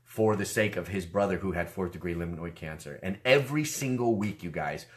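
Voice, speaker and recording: A man in his thirties, hoarse and sick with a cough, excitedly sharing a personal testimony from a small room on a webcam. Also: low voice, fairly quick. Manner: animated, gestures with his hands. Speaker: online ministry host. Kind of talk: personal testimony, casual preaching. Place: small room, seated in a leather chair.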